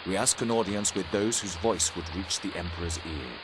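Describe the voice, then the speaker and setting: A man's voice: low-pitched, normal speed, background noise, lightly acted. An actor playing a formal, declamatory male herald or guard in a fantasy game cutscene. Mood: ceremonious.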